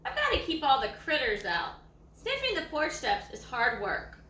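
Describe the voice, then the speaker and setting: Woman reading as folksy old hound dog, high-voiced. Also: huge pitch swings, moderate pace, pushed and bright, heavily acted. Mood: smug, folksy. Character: lazy, self-assured old male ranch hound dog. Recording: echoey room, faint background noise.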